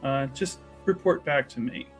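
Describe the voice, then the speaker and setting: A man voicing a businesslike woman who leads a sisterhood in a tabletop game, giving formal, measured instructions. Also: medium pitch, husky, soft background music, lightly acted.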